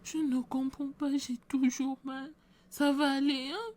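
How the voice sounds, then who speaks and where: A woman's voice: sits high, little pitch movement, a little slow, a little mellow, pitched above the performer's own voice. A woman acting out a desperate sick woman who begs for prayer in a church skit. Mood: anguished. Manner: pleading, tearful, anguished.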